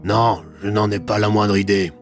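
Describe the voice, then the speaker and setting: A man's voice: fairly low pitch, level tone, moderate pace, faint music behind, lightly acted. A man voicing a weary, blunt police commissioner in an audio drama, explaining things at length with mild swearing.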